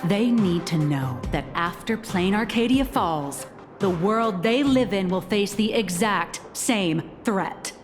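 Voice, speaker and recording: A woman's voice: medium pitch, animated, takes it slowly, in a large hall, over music. A woman playing a brash, confident female editor-in-chief in a video game, bossy and theatrical. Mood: bossy, instructive. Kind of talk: video game character acting.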